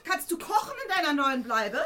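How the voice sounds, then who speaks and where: A woman's voice: sits high, big pitch swings, brisk, pushed and bright, faint music behind, full character voice. A woman playing a practical, protective middle-aged mother in a radio drama, matter-of-fact and soothing. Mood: brisk, soothing.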